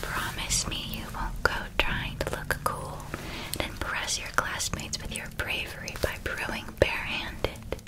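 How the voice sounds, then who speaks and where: A woman playing a doting, gentle witch who is an eldest sister, in a whispered ASMR roleplay, speaking tenderly and with soft admiration. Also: lightly acted, poor audio. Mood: fond, gently teasing. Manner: tender, unhurried, softly admiring.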